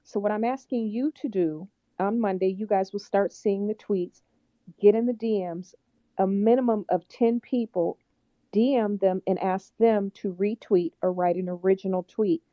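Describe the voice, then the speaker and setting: A woman's voice: mid-range voice, fairly even intonation, moderate pace, no echo, dull audio. A woman rallying listeners in an online audio chat room, upbeat and direct as she lays out a plan. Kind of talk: call to action in a live audio chat.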